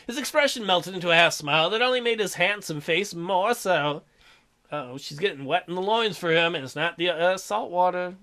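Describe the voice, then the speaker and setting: Man, gaming host, high-pitched, bright forceful tone, reading aloud, crude joking asides. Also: fairly quick. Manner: irreverent, crude joking, mocking. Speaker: gaming video host, let's player. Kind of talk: reading visual novel aloud with joking commentary.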